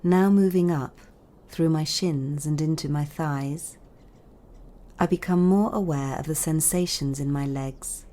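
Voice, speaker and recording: A woman with a low, dark and mellow voice guides a meditation. Her delivery is calm and soothing. She speaks in measured phrases with long pauses between them. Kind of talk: guided body scan meditation narration.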